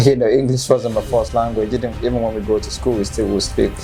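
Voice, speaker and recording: A young man with a Nigerian accent, telling his personal story as an interview guest in an earnest, halting way. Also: mid-range voice, steady tone, dark tone, faint music behind. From northern Nigeria. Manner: earnest, halting, with many ums. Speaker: guest, football player.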